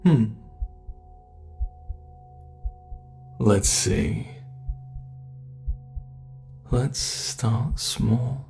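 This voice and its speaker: A man playing a sinister, sadistic monster in a horror ASMR roleplay, gloating and musing theatrically with a gravelly creak. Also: medium pitch, darkish tone. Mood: focused, gloating. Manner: slow, menacing, gloating, theatrical musing with drawn-out words. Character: sinister male monster, sadistic flesh-sculpting predator toying with prey.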